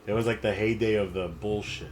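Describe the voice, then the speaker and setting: A man's voice: fairly low pitch, fairly even intonation, fairly quick, crowd noise. A young man hosting a livestream interview, laid-back and slangy with lots of fillers. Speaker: streamer host.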